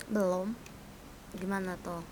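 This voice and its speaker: A woman's voice: fairly low pitch, flat delivery, slow, a little mellow, poor audio. A young woman chatting informally in short remarks in a game voice chat, with slight noise on the mic.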